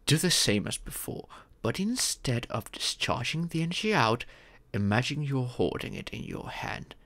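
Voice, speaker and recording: A man playing a fatherly dragon mentor in an ASMR fantasy roleplay, patiently telling stories and teaching magic in a gentle, measured, instructive way. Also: medium pitch, sing-song. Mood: encouraging. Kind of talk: ASMR audio roleplay, scripted fantasy storytelling.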